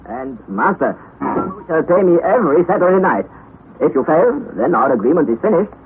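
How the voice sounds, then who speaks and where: Casting: a stolid guide, slightly high voice, clipped lines, tinny old radio. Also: male voice. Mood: calm. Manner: calm, terse, halting. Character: calm phlegmatic burly male local guide. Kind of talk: 1960s radio drama acting. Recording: phone-like narrow 1960s radio broadcast with faint hiss.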